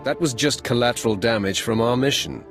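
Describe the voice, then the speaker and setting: An actor playing a ruthless, proud Sith apprentice in a video game, curt and threatening in delivery. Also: male voice, mid-range voice, a little fast, faint music behind, close to an everyday voice. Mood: defiant. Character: ruthless young male Sith warrior apprentice, proud and wrathful. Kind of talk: cinematic game voice acting.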